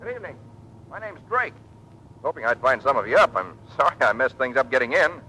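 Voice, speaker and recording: Young male rookie aviator, earnest and deferential, animated delivery, old radio serial. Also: mid-range voice, a little fast. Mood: eager, defensive. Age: young adult. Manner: polite, deferential, boyish. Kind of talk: 1940s radio acting. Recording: faintly noisy vintage radio recording.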